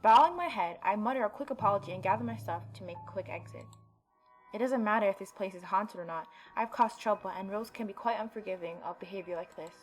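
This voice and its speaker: A woman's voice: medium pitch, quick pace, soft background music. A woman hosting a gaming video, reading visual novel narration aloud in a dramatic storytelling way with playful asides.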